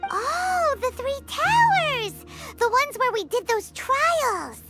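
A tiny perky fairy sidekick from a video game, squeaking at an extremely high pitch with wildly swooping intonation. The delivery is childlike and naive, taking its time, with loud music underneath. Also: female voice, very animated, slow, full character voice. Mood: curious, eager. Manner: exclamatory, speaks of herself in third person. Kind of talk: cartoonish video game voice acting.

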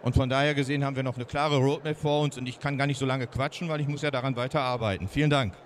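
A man in his fifties with a Ruhr German accent, pitching his startup's product at a trade fair booth into a handheld mic, folksy and lively with many fillers. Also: racing, noisy background. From Ruhr area, Germany. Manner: lively, folksy, fillers, speeds up when proud. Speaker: startup founder presenting.

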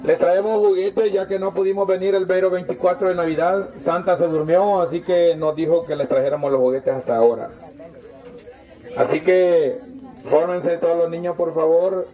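A man's voice: high-pitched, moderate pace, dark tone, poor audio. A man with a Salvadoran accent hosting a toy handout, giving informal, repetitive instructions to people. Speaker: host handing out toys. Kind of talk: informal announcing to a crowd. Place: outdoors on a village dirt road. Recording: outdoor crowd noise all around. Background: crowd of children and adults.

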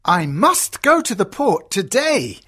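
A woman voicing a kindly middle-aged merchant father in a fairy tale, speaking earnestly and slowly in a husky voice. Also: medium pitch, full character voice. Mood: pleased, earnest. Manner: earnest, slow, expressive.

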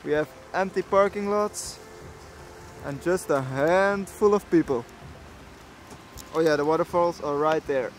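Young man, Dutch speaker, slightly high voice, casual travel vlog, clear music behind. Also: a little slow, long pauses. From Belgium. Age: 20s. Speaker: travel vlogger. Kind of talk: casual vlog narration to camera. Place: inside a camper van and outdoors on trips. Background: background music.

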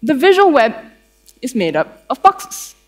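A woman's voice: sits high, sing-song, a little slow. A young woman with a Malaysian accent giving a tech conference talk on stage in a conversational, playful way with dry humour. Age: young adult. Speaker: conference speaker, developer advocate. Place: theatre stage before an audience.

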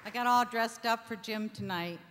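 An older woman giving an informal thank-you speech from a stage at a stand microphone, with halting, deliberate phrasing. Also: a little high, a crowd in the background, noisy background. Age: older, 60s or above. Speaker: charity founder giving thanks. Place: theatre stage before an audience. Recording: reverberant hall sound.

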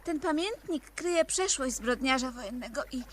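An actress in a 1970s Polish radio play, playing an earnest, wistful young woman researcher with an expressive delivery. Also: sits high, normal speed. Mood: earnest, resigned. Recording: old radio recording, faint noise.

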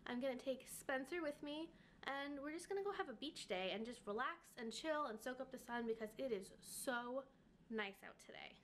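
A woman's voice: high-pitched, lively intonation, moderate pace, slight background noise. A young woman in her twenties vlogging casually from her bedroom, chatting with lots of "like" and "um" fillers. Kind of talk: casual vlog talk. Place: bedroom, indoors.

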